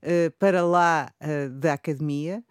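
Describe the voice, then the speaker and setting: A woman's voice: low voice, fairly even intonation, unhurried, clean tone, dry recording, clean studio sound, soft background music. A woman researcher explaining a project on the radio, thoughtful and a little hesitant. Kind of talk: reflective radio talk.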